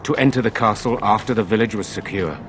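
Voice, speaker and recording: Casting: man as troubled fantasy lord, lowish voice, formal and measured, game drama. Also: a little hiss or hum, natural voice. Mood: grave. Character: grave, troubled nobleman of a fantasy village. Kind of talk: dramatic video game acting.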